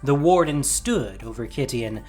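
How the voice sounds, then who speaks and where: Male narrator, dramatic scripted fantasy storytelling, lively swooping intonation, soft music behind. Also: mid-range voice, measured pace.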